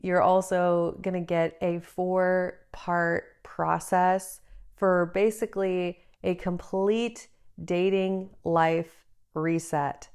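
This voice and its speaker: A woman's voice: lowish voice, darkish tone, clear, resonant tone. A woman in her thirties hosting a solo advice podcast, a little congested from a cold, talking in an earnest, encouraging way on a travel mic. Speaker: podcast host. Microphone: travel microphone, not her usual one.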